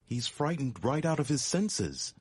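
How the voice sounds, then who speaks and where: A man's voice: mid-range voice, sing-song, measured pace, breathy edge, dry recording, studio quality. A man playing a thoughtful, knowing, well-traveled Easterner in a dramatic story reading.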